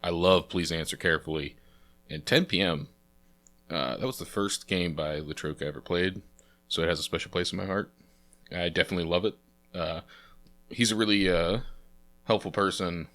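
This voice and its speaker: A man's voice: sits low, moderate pace, pauses often, a bit bright. A young man with a stuffy, sniffly nose from a cold, casually commenting while playing through games as host and rambling with many fillers. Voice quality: sniffly, congested. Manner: chatty, rambling, lots of uh fillers. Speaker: let's play host. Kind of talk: casual game playthrough commentary.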